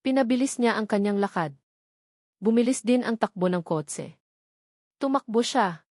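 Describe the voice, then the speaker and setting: A woman's voice: fairly high pitch, expressive, normal speed, a little mellow, dry recording. Woman narrating a dramatic story, dry sound.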